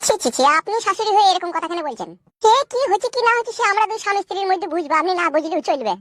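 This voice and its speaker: Man acting a boastful young cartoon husband, high thin pitch, hurried pace, protesting. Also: expressive, a bit bright, no echo. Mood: offended. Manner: cocky, protesting. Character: indignant, boastful young village son-in-law. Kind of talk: bawdy cartoon comedy acting.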